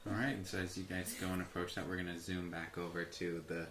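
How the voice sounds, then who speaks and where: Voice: deep voice, level tone, quick pace, music in the background. A game master narrating a tabletop game in a casual, conversational way. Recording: echoey room with faint background noise.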